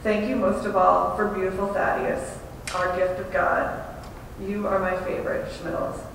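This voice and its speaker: A woman's voice: level tone, normal speed, gravelly. Woman giving a eulogy in an echoey hall. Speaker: widow giving a memorial tribute. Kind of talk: prepared eulogy. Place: large echoing hall at a memorial service. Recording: very echoey hall, distant, faint rumble.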